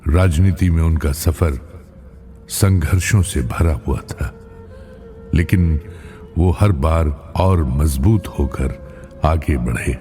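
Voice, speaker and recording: A man reading a scripted tribute as a spokesperson, solemn, measured and reverent, with deliberate pauses. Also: a bass voice, mellow. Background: music.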